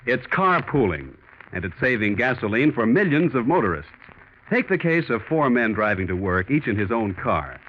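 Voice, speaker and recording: American guy, 40s radio announcer, really lively swooping delivery, dull old broadcast sound. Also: medium pitch, normal speed, a little hiss or hum. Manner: polished, emphatic salesmanship. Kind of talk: 1940s scripted radio announcing and sponsor reads. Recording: muffled 1940s radio broadcast recording.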